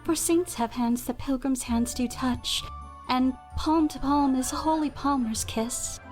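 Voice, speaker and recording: Woman acting a lovestruck young maiden, high-pitched, coy, earnest, lyrical, Shakespeare audio drama, music behind. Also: unhurried, darkish tone. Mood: coy, tender.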